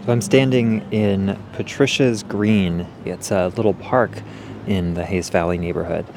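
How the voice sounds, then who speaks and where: A man's voice: sits low, steady tone, unhurried, noisy background. A male reporter narrating a scripted radio feature, partly recorded outdoors in a city park.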